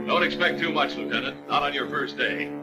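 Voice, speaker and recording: American guy narrating old 1940s war training film, slightly high voice, urging, big music behind him. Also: a little fast, gravelly, low-quality recording. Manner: colloquial, urging, rhetorical questions. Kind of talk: 1940s dramatic film narration addressed to the pilot. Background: loud musical score.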